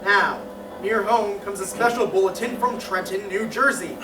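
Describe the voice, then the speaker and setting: A man playing a formal, urgent 1930s radio news announcer, measured and emphatic in a stage radio drama. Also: high voice, over music, natural voice. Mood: formal, grave. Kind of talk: 1930s radio bulletin acting. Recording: distant, echoey stage recording, faint noise.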